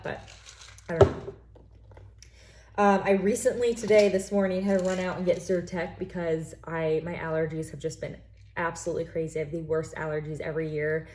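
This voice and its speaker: A woman's voice: on the low side, a little mellow, a little hiss or hum. A young woman from the United States chatting casually through her bag in a vlog, rambling with lots of fillers and sounding congested from allergies. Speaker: lifestyle vlogger.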